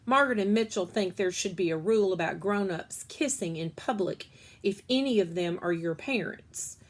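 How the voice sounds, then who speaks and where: A woman storyteller reads a children's book aloud in a mid-range voice. Her delivery is expressive, and she stretches key words for the listener. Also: mid-range voice, moderate pace.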